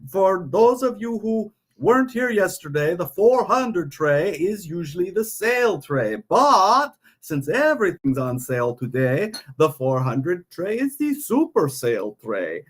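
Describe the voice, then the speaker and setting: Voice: medium pitch, sing-song, a little slow, few pauses, breathy edge. A performer voicing a cheeky, grumbling puppet sidekick with theatrical, mock-indignant comic sales patter. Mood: playful announcing. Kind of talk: comic live-sale banter and patter.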